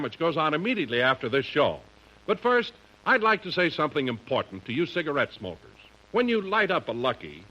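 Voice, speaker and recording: A man's voice: on the high side, sharp tone. A man working as a 1950s radio announcer, reading scripted sponsor copy with an emphatic, polished salesman's delivery. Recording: muffled old radio recording.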